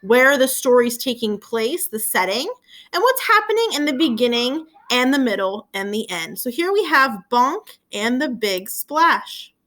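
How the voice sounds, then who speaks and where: A woman's voice: fairly high pitch, sing-song, a little slow, a bit bright. A woman teacher reading aloud to young children, addressing them as friends and prompting them with questions. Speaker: elementary school teacher.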